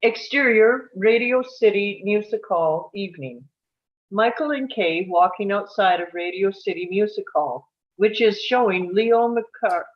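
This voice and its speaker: A woman reading scripted stage directions as the narrator of a table read, on dull lo-fi audio. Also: medium pitch.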